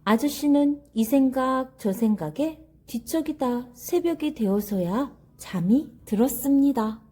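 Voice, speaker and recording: A woman's voice: high-pitched, lively intonation, measured pace, mellow. A woman narrating a children's story expressively, in a careful, teacherly way.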